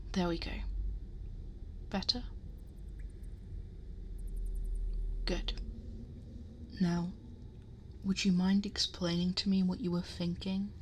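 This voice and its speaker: A woman playing a protective, possessive dragon girl in an ASMR roleplay, speaking softly and breathily, often in whispers, in an intimate, gently scolding and fussing way. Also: lowish voice, a little hiss or hum. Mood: worried, tender, then scolding, exasperated. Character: possessive, worried, protective female dragon girl guarding her hoard. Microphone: close binaural microphone.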